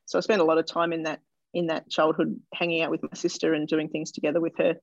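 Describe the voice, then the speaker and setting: An Australian woman, a doctor, answering interview questions in a thoughtful, hesitant way, with many pauses and restarts. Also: sits low, level tone, vocal fry, dry recording, lo-fi sound. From Australia (Melbourne).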